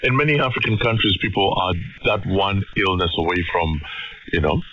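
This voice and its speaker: A man's voice: sits low, lively intonation, normal speed, pushed and bright. A male radio presenter conducting a live interview, heard over shortwave radio. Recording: muffled, very noisy shortwave radio reception.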